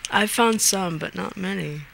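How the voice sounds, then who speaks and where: A woman's voice: mid-range voice, unhurried, vocal fry, a bit bright, a little hiss or hum, poor audio. A woman in her late twenties, from Finland, answering a radio host's questions in a hesitant way, full of ums and restarts. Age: late 20s. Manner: hesitant, many fillers and restarts. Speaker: radio guest. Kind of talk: casual radio interview.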